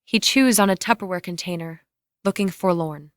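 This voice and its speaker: A woman's voice: on the low side, moderate pace, no echo, clean studio sound. A woman narrating the scene directions of a script in a scripted dramatic reading.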